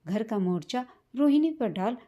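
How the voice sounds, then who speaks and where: A woman reading a scripted, sentimental family story as a storyteller, in a measured manner. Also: on the high side, expressive, dark tone, muffled recording. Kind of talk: scripted emotional story narration in Hindi.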